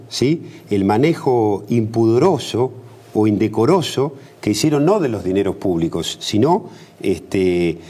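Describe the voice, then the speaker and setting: A man's voice: mid-range voice, warm tone. A middle-aged Argentine man, a politician being interviewed on TV, arguing emphatically with rhetorical questions and frequent restarts. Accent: Argentine Spanish. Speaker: politician guest.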